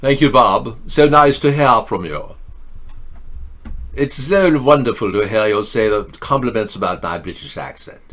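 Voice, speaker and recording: A man's voice: on the low side, expressive, measured pace, fairly bright tone. Sarcastic ranting male host. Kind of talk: political commentary livestream.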